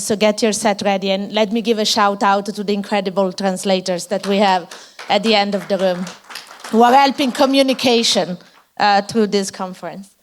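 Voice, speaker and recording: A woman moderating a conference panel, thanking speakers and introducing the next one in a warm, encouraging way. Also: mid-range voice. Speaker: session moderator.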